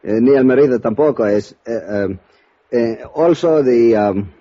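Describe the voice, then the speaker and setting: An actor playing an eager, polite Mexican police captain in 1950s radio drama, speaking halting broken English with Spanish mixed in, in a Mexican accent. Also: male voice, medium pitch, warm tone, natural voice. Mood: polite, puzzling. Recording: muffled vintage 1950s radio recording.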